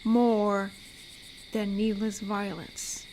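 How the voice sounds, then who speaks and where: A woman's voice: medium pitch, flat delivery, a little mellow, slight background noise, low-quality recording. A woman playing an amiable, teasing werewolf who protects a forest, speaking in an intimate, conversational roleplay drama. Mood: darkly playful. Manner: unhurried, teasing, conversational with pauses. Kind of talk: roleplay audio drama, intimate one-to-one address.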